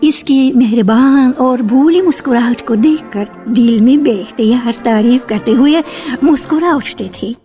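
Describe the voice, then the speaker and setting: A woman narrating a radio drama from a script in a measured storytelling manner. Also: sits high, warm tone. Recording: archival radio with faint music.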